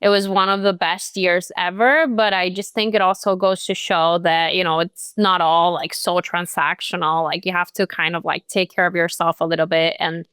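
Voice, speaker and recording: A woman's voice: on the low side, steady tone, normal speed, few pauses, a bit bright, smooth, dry recording. A young woman from Colombia, a real estate agent, chatting casually as a guest in an interview, full of "like" and "you know" fillers.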